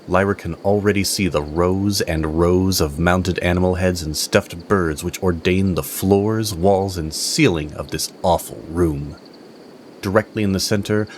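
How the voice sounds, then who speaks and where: A man's voice: sits low, a little hiss or hum. A man playing a solemn storyteller, giving dramatic scripted narration for a fantasy audio drama.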